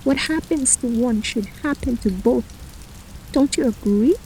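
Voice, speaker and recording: An earnest, defiant young heroine, acted by a woman, with a high, soft-toned mellow voice. She is devoted and pleading, in a fantasy audio drama with faint rain noise. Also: a little slow, low-quality recording. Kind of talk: audio drama character acting.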